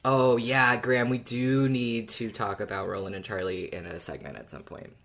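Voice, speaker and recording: A woman's voice: rumbling low, fairly even intonation, normal speed, a bit bright. A woman co-hosting a podcast in casual chat, with a dry, wryly teasing way of talking. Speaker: podcast co-host.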